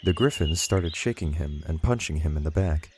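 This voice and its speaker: A man's voice: extremely low pitch, animated, normal speed, background noise. A man reading scripted audiobook narration as a storyteller.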